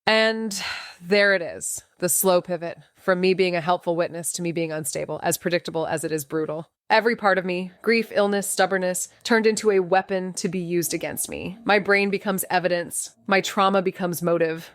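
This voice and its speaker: A woman's voice: lowish voice, steady tone, normal speed, no echo, studio quality, natural voice. Sardonic, defiant young woman sleuth, played by an actress. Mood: tense, rattled. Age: young adult. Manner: blunt, slangy, sardonic. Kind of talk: noir audio drama dialogue and inner narration.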